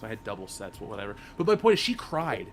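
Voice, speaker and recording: A man's voice: sing-song, fast, over a noisy crowd. A man in his thirties chatting casually as a panelist. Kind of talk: casual chat. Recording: faint low rumbling background noise.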